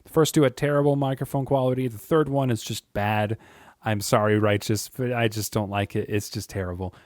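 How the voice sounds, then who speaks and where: A man with a deep voice, chatting casually and rambling to his viewers, with frequent chuckles and filler words. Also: fairly even intonation, a little fast, dry recording, clean studio sound. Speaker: YouTube creator. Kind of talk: casual monologue to camera.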